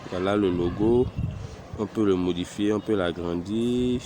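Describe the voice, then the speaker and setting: A man with a cold walking through a software tutorial step by step, hesitant and unhurried as he clicks through each setting. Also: on the low side, a little hiss or hum. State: has a cold. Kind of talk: screen-recorded software tutorial.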